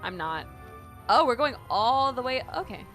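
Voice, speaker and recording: A woman's voice: fairly high pitch, animated, normal speed, fairly bright tone, soft background music. A woman game streamer giving casual, exclamatory live reaction commentary, swearing freely.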